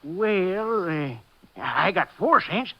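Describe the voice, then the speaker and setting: An actor playing a cagey, penniless old war veteran with a Texan accent in a 1950s radio drama. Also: male voice, sits high, lively intonation, drawn-out words, exaggerated voice. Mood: wheedling, cantankerous. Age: older. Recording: muffled old-time radio recording.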